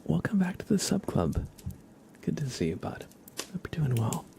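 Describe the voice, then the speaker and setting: A man hosting an ASMR livestream, chatting gently and haltingly to viewers in a soft, whispery murmur. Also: on the high side, sing-song, gravelly, a little hiss or hum. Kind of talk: ASMR, casual chat with stream viewers.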